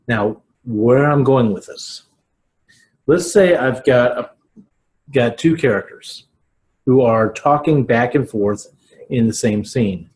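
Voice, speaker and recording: An American man in his forties talks to camera like a writing teacher. His delivery is slow and deliberate, with emphatic words and halting pauses. The pitch sits in a middle male range. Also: darkish tone. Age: 40s. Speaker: video podcast host, writing teacher. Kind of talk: informal instructional talk to camera. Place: small living room at home, indoors.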